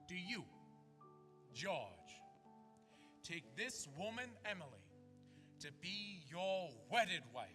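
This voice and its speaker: A folksy small-town minister who drawls out wry, homespun remarks. His voice sits high and swings about, slow and bright in tone. It comes from an old film soundtrack with a long echo. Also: very animated, music in the background, heavily acted. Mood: solemn. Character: folksy older male narrator-minister, dry and wry. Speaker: narrator and wedding minister.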